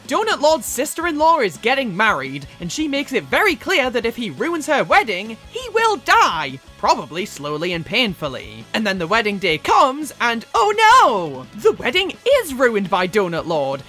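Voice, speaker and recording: A woman's voice: a little high, sharp tone. A woman delivering scripted movie review commentary as a video host, with theatrical, exasperated emphasis and some swearing.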